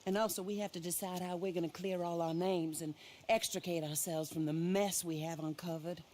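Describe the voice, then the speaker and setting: A woman's voice: fairly low pitch, fairly even intonation, a little fast, a little mellow, a little hiss or hum, natural voice. A woman playing a vengeful, imperious widow in a game cutscene, speaking in a formal, theatrical and scornful way. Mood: dry, resolute. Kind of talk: dramatic game cutscene acting.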